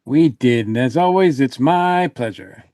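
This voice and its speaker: A man, a podcast regular, chatting casually in a halting way with pauses mid-phrase. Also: medium pitch, lively intonation, mellow, no room sound. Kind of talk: casual chat, promoting projects.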